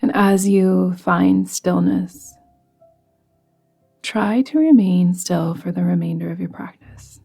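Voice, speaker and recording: Slow, hushed woman guiding meditation. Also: lowish voice. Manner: soft, soothing, gently instructing, breathy phrase endings. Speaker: yoga nidra guide. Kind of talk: guided meditation. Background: music.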